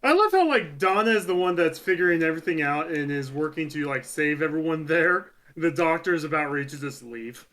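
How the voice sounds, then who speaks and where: Male review co-host, somewhat high voice, informal conversational delivery. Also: lively intonation, a little fast, few pauses, fairly bright tone. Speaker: podcast co-host reviewing an audio drama. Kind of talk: casual review chat.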